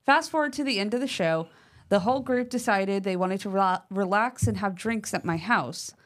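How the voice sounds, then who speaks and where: A woman co-hosting a paranormal podcast, chatting casually and reading a listener's letter aloud with lively emphasis, using fillers like "like" and "um". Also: medium pitch, normal speed. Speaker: podcast co-host.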